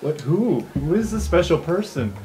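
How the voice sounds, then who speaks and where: A man's voice: fairly high pitch, sing-song, moderate pace, a little mellow, faint music behind, rough recording. A man playing a confident, tipsy college party guy in casual, slangy improvised tabletop roleplay. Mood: teasing, confident.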